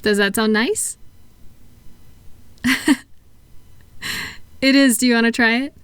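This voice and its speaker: A woman playing a kind therapist in a comfort audio roleplay, speaking softly and gently, reassuring and encouraging her patient without hurry. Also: fairly high pitch, steady tone, takes it slowly, smooth, no echo. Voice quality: soft-spoken. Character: kind, soothing woman therapist guiding a patient with futuristic tablet.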